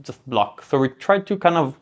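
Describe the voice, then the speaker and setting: A man's voice: medium pitch, moderate pace. Israeli man with a Hebrew accent, rambling. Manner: relaxed, rambling with many fillers and restarts. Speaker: guest. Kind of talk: casual interview conversation.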